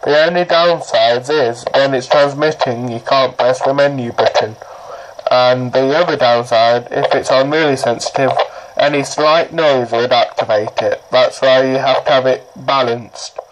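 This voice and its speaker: Slow-paced man in a noisy amateur recording. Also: mid-range voice. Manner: halting, repeats words and phrases. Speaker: product demonstrator. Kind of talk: casual rambling how-to explanation.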